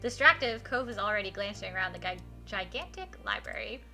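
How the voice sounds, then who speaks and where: Bright-voiced, enthusiastic woman streaming a story game. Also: mid-range voice, expressive, fairly quick, vocal fry, faint music behind. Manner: enthusiastic, gushing reactions to the story. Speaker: live streamer reading a visual novel aloud. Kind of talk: expressive story reading mixed with casual stream chat.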